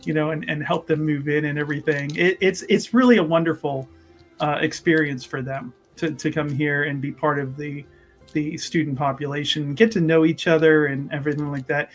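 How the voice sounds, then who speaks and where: A man's voice: fairly high pitch, steady tone, a little fast, faint music behind, close to an everyday voice. A man playing a polite, learned university official who rambles hesitantly and anxiously reassures in a tabletop roleplaying game. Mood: polite, nervous. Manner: hesitant, repetitive, placating.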